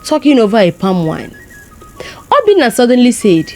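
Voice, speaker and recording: Woman, Nigerian accent, folktale storyteller, highly animated pitch swings, fairly high voice, music behind. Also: moderate pace. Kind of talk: expressive folktale narration.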